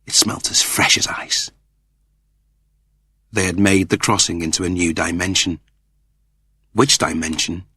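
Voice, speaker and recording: A man reading scripted fiction as a narrator, in a deliberate, theatrical storytelling manner. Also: sits low, bright, forceful tone, slightly rough, no echo, studio quality. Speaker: audiobook narrator.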